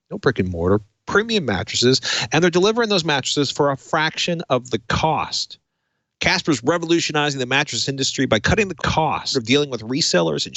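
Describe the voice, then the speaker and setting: Man, podcast host, conversational and emphatic delivery, middling pitch, dry studio sound. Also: a bit bright, no room sound. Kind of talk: casual tech podcast talk and host-read ad.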